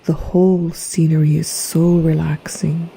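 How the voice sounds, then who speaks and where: A woman narrating a guided meditation in a soft, breathy, half-whispered voice with a gentle, soothing, hypnotic manner. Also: sits low, level tone, unhurried, rough recording. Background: relaxation music.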